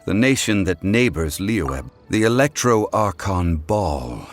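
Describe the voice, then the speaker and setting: An actor playing a calm, ancient god living as a refined, courtly gentleman in a video game. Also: low-pitched, slow, soft background music, heavily acted. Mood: grave. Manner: courtly, composed, philosophical. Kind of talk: formal video game acting.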